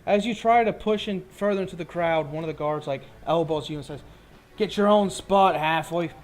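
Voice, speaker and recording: Higher-voiced man running a tabletop game. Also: moderate pace. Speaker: game master. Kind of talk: narrating a tabletop fantasy game, improvised. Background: background music.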